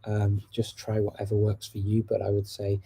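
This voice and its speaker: Gravelly, dark-voiced man. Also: lowish voice, moderate pace. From United Kingdom. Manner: relaxed and chatty, answering questions as they come in. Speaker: language-learning livestream host. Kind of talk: casual live chat answering viewers.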